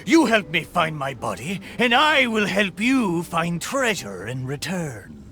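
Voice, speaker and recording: Game casting: living pirate ship, bright high voice, swooping delivery, hearty and boastful, theatrical buccaneer speech. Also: male voice, wildly swooping pitch, a little slow, background noise, full character voice. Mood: hearty, persuasive. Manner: theatrical pirate talk, exclamatory. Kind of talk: video game character acting.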